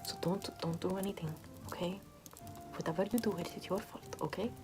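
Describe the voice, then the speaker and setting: A woman playing a callous, morbid medieval nun in ASMR roleplay, murmuring in a soothing, repetitive deadpan. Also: on the low side, fairly quick, close to an everyday voice. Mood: deadpan insistent. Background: music.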